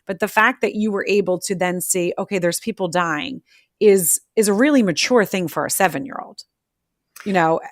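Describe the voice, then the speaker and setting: A woman hosting a live audio podcast speaks in a fairly low voice, effusive and a little rambling, often restarting her sentences. The sound is dry and close, with no room around it. She steers the talk toward her guest. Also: moderate pace, dry recording. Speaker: podcast host. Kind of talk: live social audio interview. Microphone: remote audio on a social audio app.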